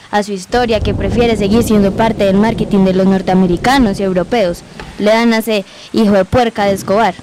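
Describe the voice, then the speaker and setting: A woman's voice: medium pitch, background noise, close to an everyday voice. A woman playing an old, plainspoken Colombian grandmother, a former housemaid telling her memories in an amateur radio drama. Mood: sorrowful, bitter. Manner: folksy rural storyteller speech.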